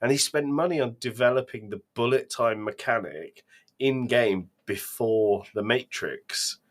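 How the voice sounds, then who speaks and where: A man's voice: medium pitch, measured pace. A man co-hosting a podcast, chatting casually with an enthusiastic, emphatic way of talking. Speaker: podcast co-host. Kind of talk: casual chat.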